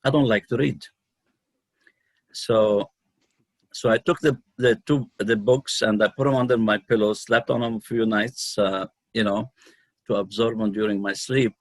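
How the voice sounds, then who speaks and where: Low-voiced Lebanese man, halting delivery. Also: steady tone, no echo. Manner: halting, pauses often mid-sentence. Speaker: guest, numerologist and healer. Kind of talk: unscripted personal storytelling in an interview.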